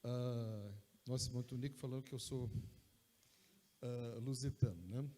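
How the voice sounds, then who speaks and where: A man from Brazil with a Brazilian Portuguese accent, preaching a sermon in a conversational way, often tossing in quick asides to check the congregation is with him. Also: mid-range voice, fairly even intonation, warm tone, a little hiss or hum. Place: church sanctuary. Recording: long church hall reverb.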